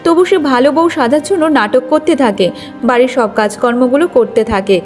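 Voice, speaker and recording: Woman storyteller, scripted cartoon story narration, high voice, faint music behind. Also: brisk, darkish tone.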